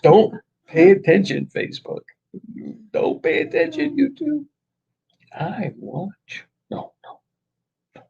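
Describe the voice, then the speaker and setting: A man's voice: high-pitched, big pitch swings, slow, vocal fry, pauses often, mellow, lo-fi sound. A man co-hosting a casual live-stream chat, telling jokes in a playful storyteller's manner.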